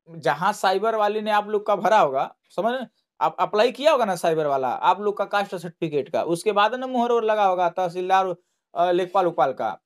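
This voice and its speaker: A man giving an informal explainer to students, repeating himself and reassuring them as he goes. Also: high voice. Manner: repetitive, reassuring. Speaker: online exam-update presenter.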